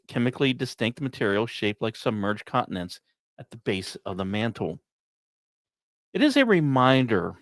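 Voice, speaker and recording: A man hosting a podcast, reading scripted science narration in a measured, explanatory way, recorded close. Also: mid-range voice, no room sound. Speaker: podcast host.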